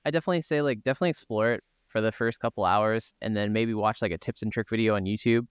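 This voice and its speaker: A man's voice: lowish voice, fast, smooth, no echo, studio quality. A man co-hosting a podcast, chatting informally and agreeably about video games. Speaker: podcast co-host. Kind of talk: casual video game discussion.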